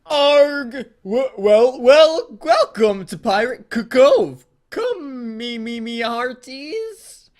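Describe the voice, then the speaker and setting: A broken animatronic pirate fox in a fan story reading. The voice is pushed high and swoops about, stuttering and glitching through hammy pirate talk. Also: male voice, expressive, very slow, full character voice. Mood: hearty, glitching.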